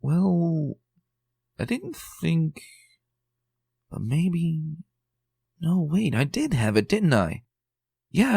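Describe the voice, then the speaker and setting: A man's voice: fairly high pitch, gravelly, darkish tone, breathy edge, no echo, voice pushed up, full character voice. A man voicing an earnest, bookish young woman unicorn who sounds mildly exasperated. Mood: recalling.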